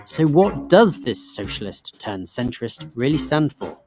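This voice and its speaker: A man reading scripted explainer narration in a crisp, measured way. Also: mid-range voice, sing-song, over music. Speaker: documentary narrator.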